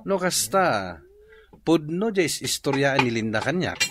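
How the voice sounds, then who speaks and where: An actor playing a wry, sympathetic older brother in an Ilocano comedy radio drama, with an Ilocano accent. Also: male voice, medium pitch, sing-song, measured pace, crowd noise. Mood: approving, teasing.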